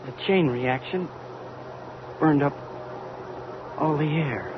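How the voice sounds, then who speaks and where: An actor playing an anxious, awestruck younger crewman, speaking in short halting phrases in 1940s radio drama style. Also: a little high. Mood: stunned. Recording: very noisy, dull old radio recording.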